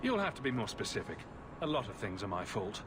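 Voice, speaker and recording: A man's voice: lowish voice, very animated, fairly quick, noisy background. An actor playing a flippant, sardonic male adventurer in a video game.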